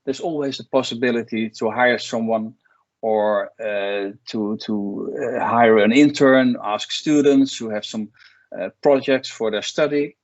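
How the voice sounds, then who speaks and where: A man coaches entrepreneurs in an online workshop, speaking plainly and restarting sentences often. His voice sits at an ordinary pitch. The video-call audio is dull and lo-fi. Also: measured pace, no echo. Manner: plain-spoken, many restarts and fillers. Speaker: export coach.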